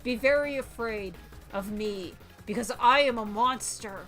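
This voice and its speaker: A woman's voice: fairly high pitch, measured pace, fairly bright tone, soft background music. A woman voicing a theatrical, menacing spork monster from a visual novel in a declamatory, mock-threatening way.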